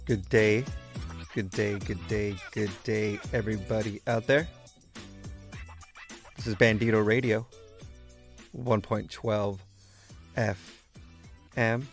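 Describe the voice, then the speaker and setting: Slow, gravelly low-voiced American radio host man. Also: steady tone, soft background music. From East Los Angeles, United States. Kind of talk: laid-back solo radio show talk to listeners.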